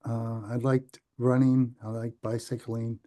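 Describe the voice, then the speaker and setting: An American man in his seventies recalling his life as a podcast guest, speaking in a halting, thoughtful way that often trails off. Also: mid-range voice, level tone, dark tone. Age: 70s. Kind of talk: reflective interview recollection.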